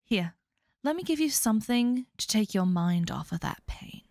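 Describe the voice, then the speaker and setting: A woman's voice: medium pitch, very animated, normal speed, a little mellow, dry recording, studio quality. A woman playing a sardonic oracle with a grudge in an audio roleplay, mocking and theatrical. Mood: menacing, mocking. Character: sardonic, grudge-holding female oracle of the gods.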